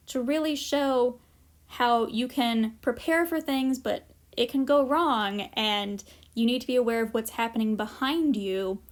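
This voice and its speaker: A woman presenting an informal instructional workshop, explaining practical tips in a conversational way with casual asides. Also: a little high.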